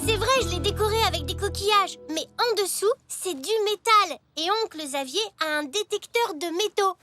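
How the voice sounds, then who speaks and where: A performer voicing a curious five-year-old boy zebra in a children's cartoon, eager and expressive. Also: very high-pitched, moderate pace, faint music behind. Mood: excited.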